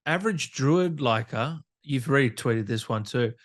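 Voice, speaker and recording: Man hosting a casual interview, medium-pitched. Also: lively intonation, a little slow. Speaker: podcast host, interviewer. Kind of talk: casual interview, reading out fan questions.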